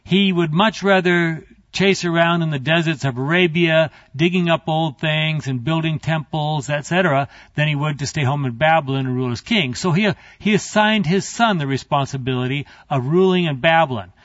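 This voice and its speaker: Man, Bible teacher, classroom lecture, conversational, digressive asides, higher voice, slightly dull sound. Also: normal speed, few pauses, fairly bright tone, no room sound. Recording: dry, slightly muffled recording.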